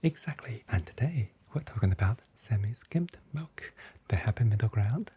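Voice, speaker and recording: A person softly whispering a customer service role play for ASMR as a shop assistant, breathy and gentle, with playful, carefully phrased sales patter. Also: mid-range voice, lively intonation, moderate pace, slight background noise.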